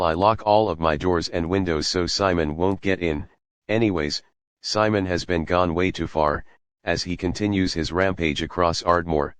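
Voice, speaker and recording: A man's voice: deep voice, smooth, dry recording, close to an everyday voice. A man playing a television news anchor reading a scripted update.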